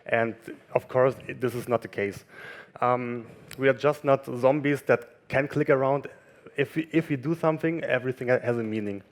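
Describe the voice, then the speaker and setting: A man giving a conference talk in a halting way, with pauses and restarts. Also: mid-range voice. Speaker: conference speaker. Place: conference room with audience.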